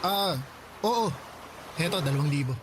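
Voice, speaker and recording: A man's voice: sits high, animated, normal speed, background noise. An actor playing a kind, generous young male college student who speaks in an easygoing, caring way in a radio drama. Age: young adult.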